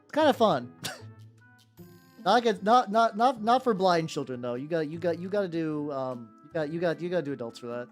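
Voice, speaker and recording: A livestreamer talks off the cuff with lively, swinging intonation. The delivery is rambling and irreverent, full of repeated words and casual swearing. Faint music plays behind the voice. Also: medium pitch, brisk. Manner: rambling, stammering, repeats words mid-sentence. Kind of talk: casual livestream chat while painting miniatures. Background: background music.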